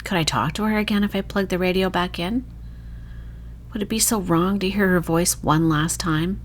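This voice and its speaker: A woman reading a first-person horror short story in a dramatic, emotive, hushed storytelling manner. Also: lowish voice, steady tone, moderate pace, slight background noise.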